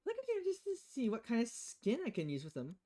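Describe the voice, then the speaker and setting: A woman game streamer reads visual novel dialogue aloud on a livestream. Her pitch is very animated, moving up and down as she shifts between voices. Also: quick pace. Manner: acts out dialogue with changing voices.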